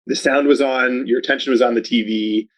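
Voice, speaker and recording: A man's voice: medium pitch, fairly even intonation, fairly quick. A man speaking as a podcast guest in casual chat, rambling and upbeat, filling his talk with "like". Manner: rambling, heavy use of "like", upbeat. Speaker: podcast guest, video advertising researcher.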